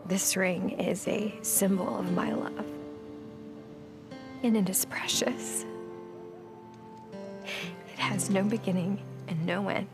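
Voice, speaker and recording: An earnest, playful young bride in a video game scene. She speaks softly and intimately in a mid-pitched, breathy voice. Music is clearly heard behind her. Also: steady tone, takes it slowly, pauses often. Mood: tender, earnest. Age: young adult. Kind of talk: video game cutscene acting.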